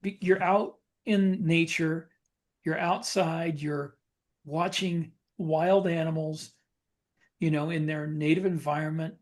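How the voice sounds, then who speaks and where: A man's voice: a little high, fairly even intonation, slow. Reflective man in casual conversation. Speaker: podcast co-host and writer. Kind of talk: casual chat.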